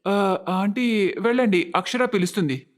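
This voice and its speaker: A woman's voice: medium pitch, moderate pace, voice pushed down, lightly acted. A woman voicing a polite, uneasy young husband in a cartoon story, with a reserved manner.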